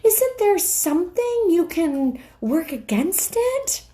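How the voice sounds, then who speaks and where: A woman's voice: extremely high pitch, very animated, slow, warm tone, voice pushed up, full character voice. A woman voicing an anxious young girl in a storybook, hesitant and pleading. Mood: worried, pleading.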